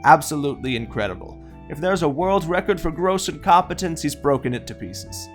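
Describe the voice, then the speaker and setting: A man's voice: fairly high pitch, a little fast, lightly acted. A man playing the wry narrator of a comic detective audio play, mocking and self-aware in his delivery. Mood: sardonic. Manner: sardonic, self-aware, animated. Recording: faint guitar music behind.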